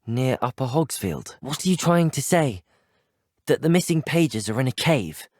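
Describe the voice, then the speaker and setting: An actor voicing a polite, curious teenage student wizard in a video game, with a British accent and a courteous, earnest, well-spoken manner. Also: male voice, medium pitch, lively intonation, moderate pace, no echo, clean studio sound, close to an everyday voice. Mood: curious, willing. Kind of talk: video game character voice lines.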